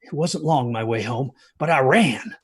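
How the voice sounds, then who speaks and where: An actor playing an anxious, guilt-ridden young son, narrating a story in the first person with literary intensity. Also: male voice, medium pitch, unhurried, breathy edge. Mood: tense, reflective.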